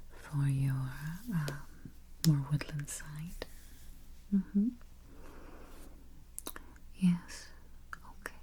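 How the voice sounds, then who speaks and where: A woman doing a soft, breathy, mostly whispered ASMR roleplay as a politely morbid vampire travel agent, murmuring with dry understatement close to the microphone. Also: low voice. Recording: faint hiss, close keyboard typing and clicks. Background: typing, clicking and gentle tapping.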